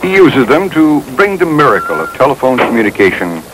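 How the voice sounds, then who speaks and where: An American man reading scripted narration for a 1960s training film in a wry, measured manner. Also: on the high side, sing-song, very noisy. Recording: old film soundtrack, music behind.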